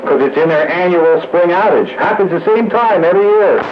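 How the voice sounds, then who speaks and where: A man's voice: high-pitched, lively intonation, moderate pace. A plain-spoken, matter-of-fact man chatting casually over the radio. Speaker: radio hobbyist in a group conversation. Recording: muffled, noisy two-way radio audio.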